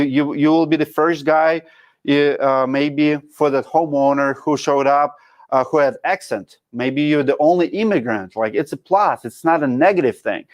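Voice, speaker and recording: Casting: Russian-accented man, thirties, livestream host, frank coach, slightly high voice. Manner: frank, coaching, drops articles. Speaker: show host reading live chat. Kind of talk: casual livestream talk.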